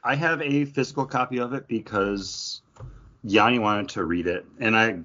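A man's voice: fairly low pitch, moderate pace, sharp tone, muffled recording. A man co-hosting a podcast, chatting casually in a comic book review. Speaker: podcast co-host.

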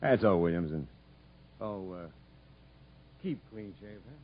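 A gruff but fair prison captain in an old radio play. He is deep-voiced, dry and commanding, with a very varied pitch, on a muffled 1950s broadcast. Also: animated, unhurried, a little hiss or hum. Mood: wry, fair. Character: gruff, fair-minded male prison captain, wryly authoritative. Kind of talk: 1950s radio drama acting.

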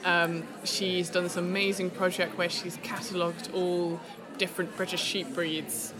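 A woman's voice: fairly low pitch, little pitch movement, moderate pace, music in the background. A woman hosting a podcast, chatty and enthusiastic, interviewing a guest. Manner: chatty, enthusiastic, laughs often. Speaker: podcast host. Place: yarn festival venue. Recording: crowd chatter behind.

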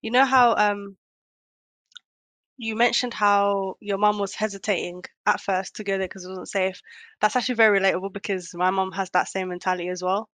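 A woman's voice: mid-range voice, fairly even intonation, fairly quick, fairly bright tone, no room sound. A young woman born in Kenya, speaking reflectively in a casual conversation, with lots of "um"s. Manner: reflective, many fillers.

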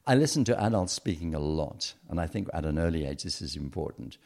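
A man's voice: very deep voice, expressive, a little fast, creaky, darkish tone. A middle-aged man as a podcast guest, talking thoughtfully about his upbringing with frequent hesitations. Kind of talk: reflective interview conversation.

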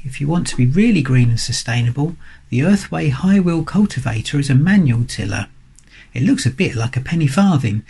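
Man, husky voice, mid pitch, scripted sponsor read. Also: a little mellow. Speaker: sponsor message reader.

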